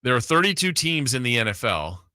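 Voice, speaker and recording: A man's voice: medium pitch, big pitch swings, brisk, bright, forceful tone, clear, resonant tone, no room sound, studio quality. A man hosting a sports radio show, talking casually and thinking aloud in a conversational way. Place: radio studio. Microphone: close broadcast studio mic.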